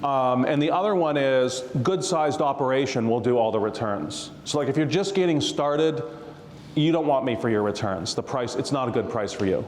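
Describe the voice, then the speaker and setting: A man's voice: medium pitch, expressive, moderate pace, darkish tone. Joking man in an echoey hall. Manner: jokey storyteller, laughs often. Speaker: real estate tax attorney guest. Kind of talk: casual talk to a live audience. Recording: roomy hall reverb.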